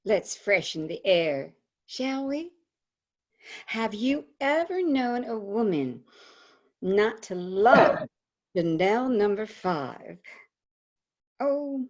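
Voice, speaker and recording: An actress playing a frank, patriotic woman advice columnist in a theatrical monologue, confiding and dramatic with deliberate pauses. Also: mid-range voice, sing-song, vocal fry, dull audio, exaggerated voice. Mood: breezy, confiding. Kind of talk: theatrical monologue, staged reading. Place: indoors, remote video call. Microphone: video call microphone.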